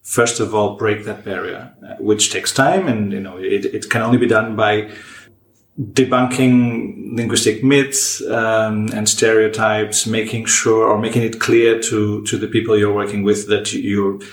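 Guest linguist, male, measured and deliberate, roomy sound. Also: fairly low pitch, level tone. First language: Portuguese. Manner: measured, thoughtful, frequent restarts. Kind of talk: podcast discussion. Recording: roomy echo.

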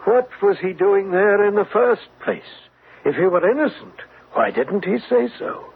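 A man's voice: sits high, big pitch swings, normal speed, creaky, breathy edge, heavily acted. An actor playing a stern, troubled older banker in a classic radio drama, formal and emphatic in his speech. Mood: distraught. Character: anguished, stern, proud older banker father. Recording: tinny, noisy old radio recording.

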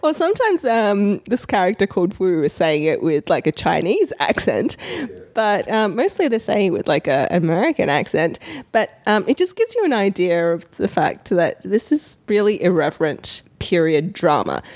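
Low-pitched woman, enthusiastic podcast co-host. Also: sing-song, moderate pace, a little mellow. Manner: enthusiastic, emphatic recommending. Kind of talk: casual podcast discussion.

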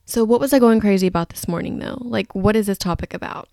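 A woman's voice: mid-range voice, quick pace, creaky, darkish tone, dry recording, studio quality. A woman hosting a podcast solo in a casual, chatty, rambling and candid way, with a slightly groggy voice. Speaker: podcast host.

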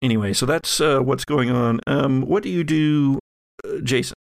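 Man, game master, creaky voice, halting improvised narration, dry sound. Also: mid-range voice, no room sound. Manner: halting, searching for words.

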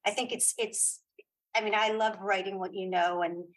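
A middle-aged woman chatting casually as an interview guest, giving opinions in halting, repeated phrases. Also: medium pitch, steady tone, rough recording. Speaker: guest, playwright and audio drama creator.

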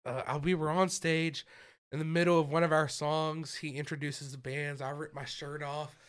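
A young man in his twenties, a musician guest on a podcast, telling stories in a loose casual way. His voice sits a little high for a man, and he laughs often between sentences and calls everyone "dude". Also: little pitch movement, moderate pace, clean tone. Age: 20s. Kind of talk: casual chat.

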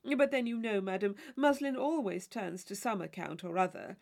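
A woman voicing a witty young gentleman in a storybook reading, articulate, arch and playfully mock-serious. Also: medium pitch, expressive, normal speed, creaky. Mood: mock grave. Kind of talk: audiobook character dialogue.

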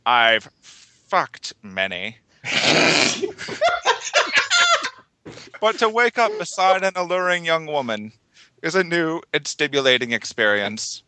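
A man doing a slow, menacing, leering voice as he reads a creepy billionaire's lines aloud for comic effect. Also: fairly high pitch, sing-song, very noisy, exaggerated voice. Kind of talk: comic dramatic reading.

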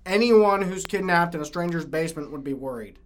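A young woman playing a kidnapped high school girl, stammering and pleading in a student audio drama. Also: animated, fairly quick, a little hiss or hum, lightly acted. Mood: indignant pleading. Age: young adult.

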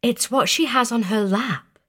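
A woman playing a quiet, uneasy young girl in an audio story, speaking in a hushed, matter-of-fact way. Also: on the high side, measured pace, breathy edge. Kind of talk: audio story character acting.

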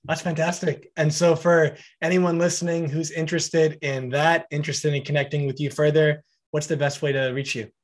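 A man's voice: fairly high pitch, little pitch movement, normal speed, hardly any pauses. Upbeat man hosting a podcast. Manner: encouraging, wraps up with upbeat promo talk. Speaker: podcast host. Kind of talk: casual podcast interview.

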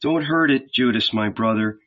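A man voicing a calm young spiritual teacher who speaks softly and tenderly. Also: medium pitch, normal speed, close to an everyday voice. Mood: gentle. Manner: soft, quiet, tender. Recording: dull lo-fi audiobook recording.

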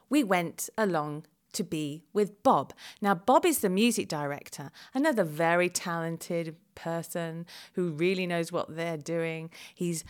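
A middle-aged woman from England telling a chatty, self-deprecating diary-style account of her experiences. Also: on the low side. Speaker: vlog presenter. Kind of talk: first-person diary narration.

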